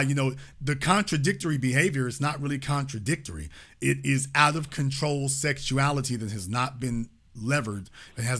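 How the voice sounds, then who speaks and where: Male call-in host, bright and forceful, lectures with heavy emphasis. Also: medium pitch. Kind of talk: talk radio commentary.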